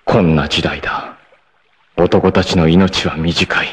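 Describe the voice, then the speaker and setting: A man's voice: sits low, fairly even intonation, slow, breathy edge, full character voice. A man voicing a proud, stoic young male martial artist who is dying, in 1980s TV anime style, speaking in grave, solemn declarations with sudden anguished outbursts. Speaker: voice actor. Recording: old TV anime audio with hiss.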